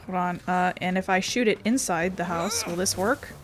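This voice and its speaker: A woman's voice: lowish voice, animated, normal speed. A woman giving casual, sweary let's play commentary, irreverent and half talking to herself as she plays. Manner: irreverent, sweary, muttering to self. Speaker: gaming video host. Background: faint game music.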